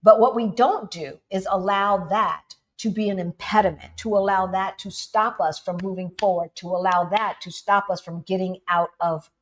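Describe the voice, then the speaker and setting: A woman's voice: mid-range voice, moderate pace, hardly any pauses. A woman from the United States co-hosting a livestream, talking casually and with energy, laughing often and calling her viewers "y'all". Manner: animated, laughing, addresses audience as y'all. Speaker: livestream co-host.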